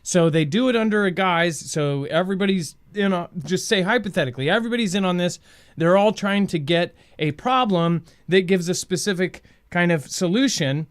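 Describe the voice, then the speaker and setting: A man's voice: high voice, animated, normal speed, smooth. A man hosting a news show with conversational commentary, speaking informally and straight to his viewers. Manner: informal, addresses viewers directly.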